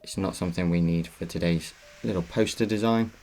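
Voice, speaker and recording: A man hosting a step-by-step drawing tutorial, patiently walking viewers through each move, his voice a little croaky. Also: low-pitched, fairly even intonation, fairly quick, darkish tone. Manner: patient, instructive walkthrough. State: slightly croaky voice. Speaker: tutorial host.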